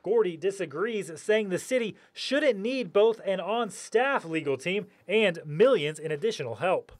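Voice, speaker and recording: A man's voice: sits high, animated, unhurried. A man narrating a scripted television news report.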